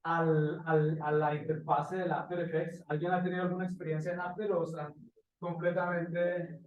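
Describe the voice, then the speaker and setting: A man teaching informally to a class, pausing to search for phrases and checking in with short questions, recorded at a distance in an echoey room. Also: fairly high pitch, level tone, phone-quality sound. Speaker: motion graphics teacher. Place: indoor classroom.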